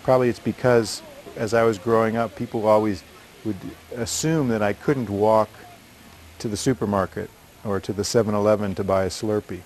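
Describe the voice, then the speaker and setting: A man speaking calmly and thoughtfully in a voice-over for a promo film. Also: on the low side, normal speed, a little hiss or hum, rough recording. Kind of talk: reflective voice-over.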